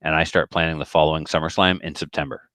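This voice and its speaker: Man, deep-voiced, casual podcast talker, colloquial, rambling, dry recording. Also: level tone, fast, fairly bright tone, no room sound. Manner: rambling, colloquial, with acted-out quotes. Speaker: podcast speaker who organizes shooting matches.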